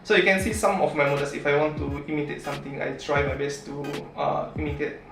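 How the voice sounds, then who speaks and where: A man's voice: a little high, fast, a bit bright. A man from Indonesia with an Indonesian accent, chatting casually as an interview guest in a chatty, easily amused manner. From Palembang, Indonesia. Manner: chatty, often chuckling as he talks. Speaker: interview guest, origami artist. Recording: roomy echo, distant mic, faint music.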